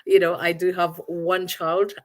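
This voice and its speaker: A woman from Uganda with a lowish voice speaks as an interview guest. She answers in a reflective, searching way, often restarting her sentences as she thinks. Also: level tone, a little slow. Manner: thoughtful, searching for words with many restarts.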